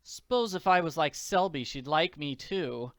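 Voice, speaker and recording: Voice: medium pitch, lively intonation, measured pace, dry recording, clean studio sound. Performer as a plucky, slangy orphan girl. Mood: forlorn. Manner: folksy slang, earnest musing.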